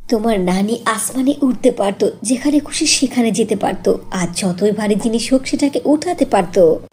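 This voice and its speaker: A woman voicing a gentle, patient young mother in a cartoon, soothing as she explains. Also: a little high, animated, normal speed, slight background noise, lightly acted. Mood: patient, coaxing. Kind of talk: cartoon voice acting.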